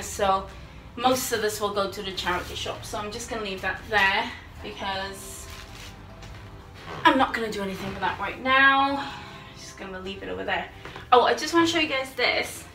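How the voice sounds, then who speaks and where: A woman's voice: medium pitch, pushed and bright. Woman in her thirties, home vlogger, chatty and rambling, phone held at arm's length, faint music behind. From United Kingdom. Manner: chatty, rambling, friendly asides to viewers. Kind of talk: casual chat to camera. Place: small home, bedroom and hallway. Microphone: handheld phone camera at arm's length.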